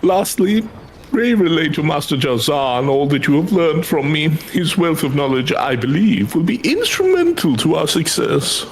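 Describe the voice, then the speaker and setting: A man's voice: a little high, sing-song, moderate pace, slight background noise, pitched above the performer's own voice, poor audio. A man voicing a courteous, scholarly fantasy game mentor with formal, old-fashioned diction. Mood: formal, earnest.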